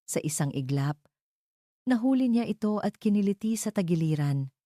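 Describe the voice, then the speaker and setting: A woman narrating a dramatic scripted love story in measured, short phrases. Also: mid-range voice, expressive, dark tone, no echo, clean studio sound.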